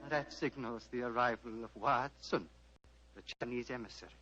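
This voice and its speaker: An actor playing a serene, fatherly old Tibetan abbot in a measured, formal radio drama style. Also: medium pitch, over loud music, natural voice. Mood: calm, fatherly. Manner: measured, formal, gently paternal. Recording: muffled vintage radio, hum and hiss.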